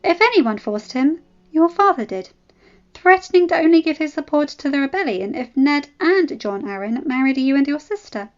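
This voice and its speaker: A woman's voice: sits high, unhurried, muffled recording, voice pushed up. A woman voicing a proud, defiant young noblewoman who answers her rival with cold, cutting retorts.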